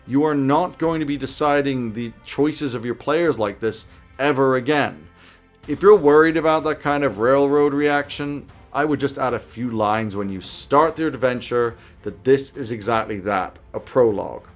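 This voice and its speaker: A man in his thirties hosts a scripted advice video from a small home office. His pitch sits in the middle range for a man, and light music plays behind him. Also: normal speed. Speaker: YouTube host giving game master tips.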